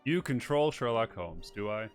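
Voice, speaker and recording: A man casually commenting on a game he is playing, reading its text aloud in an offhand, halting way. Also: medium pitch, animated, faint music behind. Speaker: game streamer.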